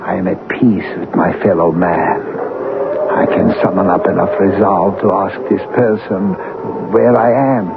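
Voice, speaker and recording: An actor playing a meek, hapless lowly clerk who stammers plaintively, in a 1970s radio drama. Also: male voice, fairly even intonation, measured pace, gravelly. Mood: timid, polite. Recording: tinny, faintly noisy old radio broadcast.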